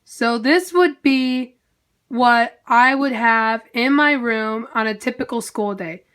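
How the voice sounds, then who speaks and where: A woman's voice: on the high side, slow, fairly bright tone, clean tone. A young woman vlogger chatting upbeat to her viewers, her voice nasal and congested from a head cold. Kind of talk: casual talk to camera.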